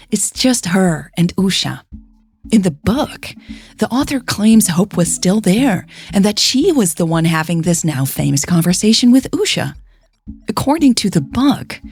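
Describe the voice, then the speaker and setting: A woman's voice: on the low side, darkish tone, husky. A woman voicing a puzzled, earnest fan posting on an online forum, in a chatty, questioning way.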